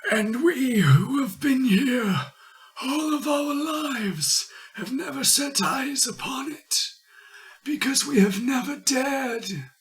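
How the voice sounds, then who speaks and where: Casting: a frail, consoling old man for a gothic horror tale; shrill strained voice, swooping intonation, distant from the mic. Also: lively intonation, slow pace, exaggerated voice. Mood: awed, eager. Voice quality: creaky, strained falsetto. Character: old man with withered hand, solemn and consoling. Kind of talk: dramatic reading of gothic horror story.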